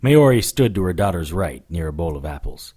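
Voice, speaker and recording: A man's voice: low-pitched, very animated, brisk, mellow, slightly rough, heavily acted. A man playing a wry, defiant supernatural investigator who narrates his case in an audio drama, dry and firm. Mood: wry narration. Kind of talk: first-person detective audio drama.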